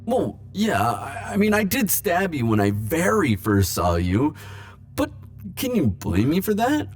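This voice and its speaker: A man playing a lovesick, world-weary immortal in an intimate romantic audio roleplay, speaking haltingly and emotionally. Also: medium pitch, lightly acted. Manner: halting, emotional, stammering confessions with soft wry asides.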